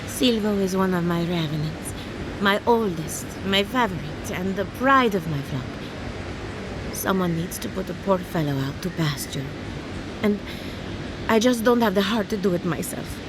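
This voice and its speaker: A woman's voice: medium pitch, animated, moderate pace, noisy background, close to an everyday voice. An actress voicing a cheerful, eccentric woman necromancer farmer in a video game, theatrical and breezy about gruesome things. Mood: wry, chatty. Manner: theatrical, breezy, matter-of-fact about gore. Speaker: quest-giving farmer.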